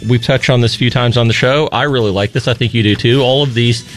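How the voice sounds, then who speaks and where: A man's voice: medium pitch, steady tone, fast talker, faint music behind. A man hosting a radio talk show, joking and teasing his co-host.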